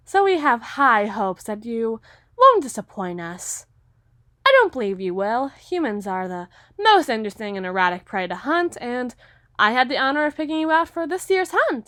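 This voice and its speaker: A woman's voice: a little high, a bit bright, no room sound, studio quality. A woman playing a condescending, possessive aristocratic huntress in a dramatic audio roleplay, speaking in formal, emphatic, theatrical phrases. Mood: possessive, menacing.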